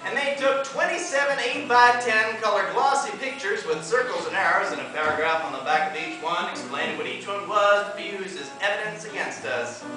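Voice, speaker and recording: A young man spins a comic tale in a relaxed, deadpan drawl, reeling off long lists as he strums an acoustic guitar. His voice sits high and carries through a live theater. The sound is distant and roomy, caught by a camera far from the stage. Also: brisk, hardly any pauses. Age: young adult. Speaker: student performer. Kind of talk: talking-blues storytelling over guitar.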